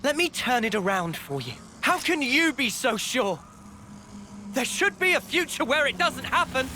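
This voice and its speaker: An actor playing a defiant, earnest young hero in a video game, impassioned and declamatory, over music. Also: male voice, extremely high pitch, big pitch swings, normal speed, noisy background. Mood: calm challenge. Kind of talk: dramatic video game acting. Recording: loud music behind the voice.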